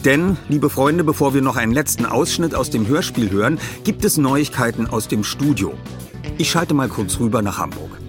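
A man's voice: mid-range voice, animated, normal speed, music in the background. A man presenting and narrating in a scripted style as a podcast host and reader.

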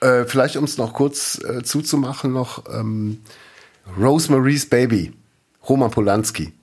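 A man in his forties co-hosting a casual podcast chat, telling stories in a lively way and laughing often. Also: medium pitch, a little slow, slightly rough. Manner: laughs a lot, lively storytelling. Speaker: podcast co-host.

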